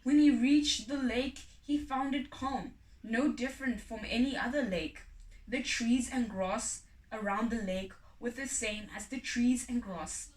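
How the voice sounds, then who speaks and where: Woman storyteller, high bright voice, deliberate dramatic pauses, children's folk tale, echoey distant recording. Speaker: storybook reader. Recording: echoey room, distant microphone.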